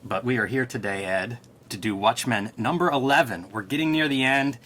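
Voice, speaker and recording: A man's voice: medium pitch, moderate pace, a bit bright, a little hiss or hum. A man co-hosting a casual comics discussion, talking in a conversational, analytical way. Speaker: co-host and comics artist.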